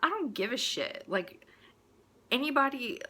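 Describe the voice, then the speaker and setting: A woman's voice: high voice, very animated, unhurried, bright, forceful tone. A woman in a casual, opinionated rant, speaking in a blunt, crude and exasperated manner with mocking asides. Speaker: host delivering a personal rant.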